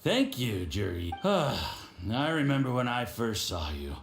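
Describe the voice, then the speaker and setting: A man's voice: medium pitch, huge pitch swings, measured pace, creaky, faint music behind, exaggerated voice. A smug, swaggering male villain agent. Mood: smug, patronizing. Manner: condescending, drawling, boastful. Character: smug, tipsy, domineering male Fatui diplomat agent. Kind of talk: theatrical video game acting. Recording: roomy echo with faint background noise.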